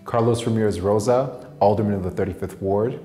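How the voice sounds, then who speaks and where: Male politician, American, dark low voice, echoey room, campaign testimony. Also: steady tone, brisk. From Chicago, United States. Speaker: city alderman. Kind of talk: personal testimony and campaign appeal. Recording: roomy echo, faint music bed.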